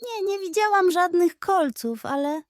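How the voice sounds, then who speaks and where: A woman's voice: very high-pitched, a little slow, no echo, voice pushed up. A woman voicing an optimistic little female squirrel, kind and comforting, in a children's bedtime story.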